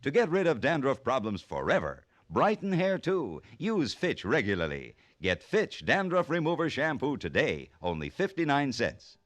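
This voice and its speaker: A man's voice: medium pitch, huge pitch swings, normal speed, hardly any pauses, fairly bright tone. A man with an American accent reading scripted copy as a 1950s radio announcer.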